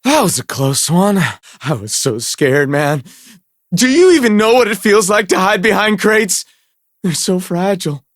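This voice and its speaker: Scaredy nervous islander in a video game, whimpery and stammering, wobbly pitch. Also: male voice, lively intonation, a little slow, dry recording, clean studio sound. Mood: frightened. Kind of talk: video game character acting.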